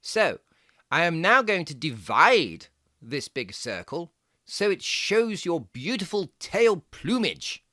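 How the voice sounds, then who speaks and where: A performer voicing a jaunty, fussy fox who gives playful, step-by-step drawing lessons for children in a theatrical, deliberate, encouraging way. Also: mid-range voice, very animated, pushed and bright, no room sound, studio quality, full character voice. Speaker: presenter of children's drawing tutorial.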